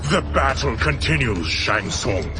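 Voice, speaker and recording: Grim villainous sorcerer, formal, portentous, slow and animated, music behind, video game. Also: male voice, medium pitch. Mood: cold, measured. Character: grim, menacing male sorcerer, hungry for destruction. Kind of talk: video game cutscene acting.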